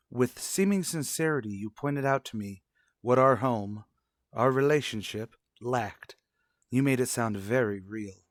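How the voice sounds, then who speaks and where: An actor playing a cold, accusing husband in a radio soap, speaking formally and condescendingly with pointed questions. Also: male voice, medium pitch, no room sound, clean studio sound, natural voice. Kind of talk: revived daytime radio soap acting.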